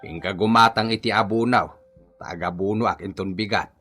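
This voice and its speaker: An actor playing a blunt, gruff older father-in-law in a comedy radio drama, with a commanding manner. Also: on the low side, steady tone, moderate pace, pushed and bright, soft background music. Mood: ordering.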